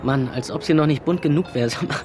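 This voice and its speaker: An actor playing a sarcastic, impatient young slacker in a German science fiction radio play, with a flippant, slangy delivery. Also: male voice, on the high side, fast, darkish tone, a little hiss or hum, natural voice. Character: Jeremy, sarcastic impatient slacker young man trapped in simulation.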